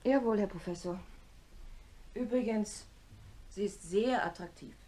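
An actress playing a polite, businesslike secretary with a sly familiarity in a radio drama. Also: female voice, mid-range voice, measured pace, dark tone, natural voice. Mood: polite, teasing. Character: efficient, deferential female secretary with sly familiarity. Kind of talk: German radio drama acting.

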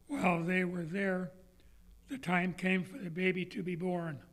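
Hoarse older man reading scripture slowly. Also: sits high, a bit bright. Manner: measured, careful reading with throat clearing. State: hoarse from laryngitis. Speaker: scripture reader. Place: church sanctuary, indoors. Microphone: lectern microphone on a stand.

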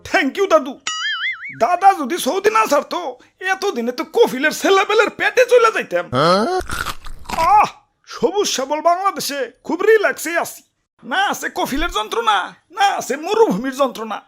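A woman voicing a vain, excitable lizard boss in a comic cartoon, theatrical and exclamatory, with a Bangladeshi accent. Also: very high voice, moderate pace, a little hiss or hum, heavily acted. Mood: boastful, contented. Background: background music.

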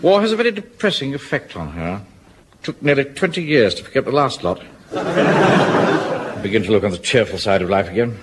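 An actor playing a pompous, fussy middle-aged bank manager who commands a home guard platoon, in an old radio comedy. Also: male voice, medium pitch, sing-song, normal speed, pauses often, lightly acted. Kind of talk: 1970s radio comedy acting. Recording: old radio recording with loud hiss.

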